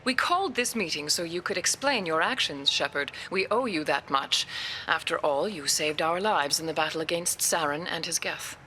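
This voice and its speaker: A woman's voice: deep voice, normal speed, pushed and bright, a little hiss or hum, poor audio. An actress playing a composed, diplomatic female alien councilor in a video game, speaking formally. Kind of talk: video game dialogue acting.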